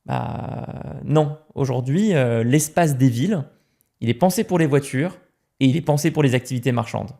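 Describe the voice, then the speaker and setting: Man from France, gravelly voice, mellow dark tone, emphatic podcast guest, repeats words as he starts. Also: medium pitch, lively intonation, normal speed. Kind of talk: casual podcast conversation.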